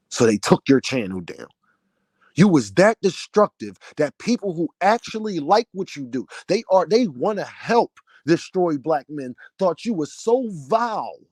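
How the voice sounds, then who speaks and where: Loud, heated man ranting with a deep voice. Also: no room sound. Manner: loud, heated, preaching cadence. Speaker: vlogger, channel owner. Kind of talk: passionate rant to camera.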